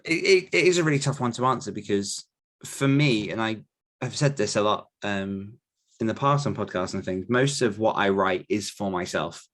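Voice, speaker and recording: A man's voice: fairly low pitch, expressive, normal speed. A man, talking casually and thoughtfully as an author and podcast host answering questions.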